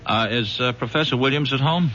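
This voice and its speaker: A man's voice: mid-range voice, fairly bright tone, slight background noise, lightly acted. A man playing a sly, folksy police detective. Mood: wheedling. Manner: folksy, halting, with sly probing questions. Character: shrewd, persistent male police detective. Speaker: detective sergeant. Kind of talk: 1970s radio drama acting. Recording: dull lo-fi old radio broadcast.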